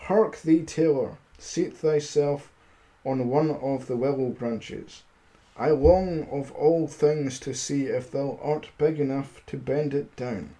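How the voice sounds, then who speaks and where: A man's voice: mid-range voice, slow pace, dark tone, natural voice, rough recording. A man voicing a credulous, easily frightened storybook giant, growling his archaic lines with emphasis. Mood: scheming.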